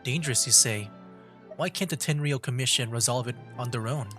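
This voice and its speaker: A man commentates casually on a game playthrough as a streamer. His voice sits at a medium pitch. Some music runs behind him. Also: fairly quick. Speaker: gaming streamer.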